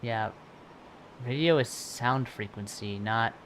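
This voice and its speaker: A man's voice: medium pitch, slow pace, fairly bright tone, slight background noise. A man giving informal live commentary as a video game streamer, reacting aloud and repeating himself. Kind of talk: casual live gameplay commentary. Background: video game music.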